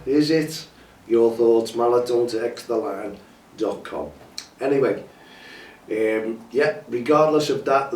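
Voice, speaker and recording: A man's voice: fairly low pitch, a little mellow, far from the mic, slight background noise. A man hosting a campaign update, bunged up with a cold and sore throat, making an earnest, pleading appeal that often repeats itself. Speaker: campaign host. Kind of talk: impassioned appeal to camera. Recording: echoey, mic some way off, faint ambience.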